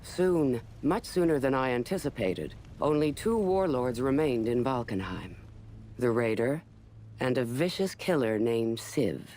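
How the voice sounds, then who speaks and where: An actor playing a solemn warlord who narrates a war saga in a game cutscene, with measured, portentous phrasing. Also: male voice, mid-range voice, big pitch swings, a little mellow, a little hiss or hum. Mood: solemn, foreboding. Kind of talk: scripted dramatic game narration.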